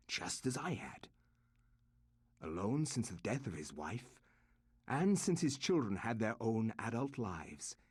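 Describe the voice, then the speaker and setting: An actor playing a haunted narrator who recounts his family's dark history in formal, dramatic language for a video game. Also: lowish voice, slightly rough, dry recording, clean studio sound, exaggerated voice. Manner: formal, literary, dramatic phrasing.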